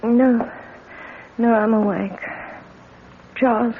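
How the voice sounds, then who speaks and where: A frail young wife in an old radio play, drowsy and anxious. Her words come slowly and falter as she pleads, over a muffled recording with background hiss. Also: a little high, fairly even intonation. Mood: pleading, fearful. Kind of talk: old-time radio drama acting.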